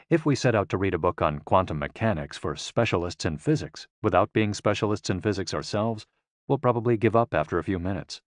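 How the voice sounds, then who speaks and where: Low-pitched male audiobook narrator. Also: no echo, studio quality.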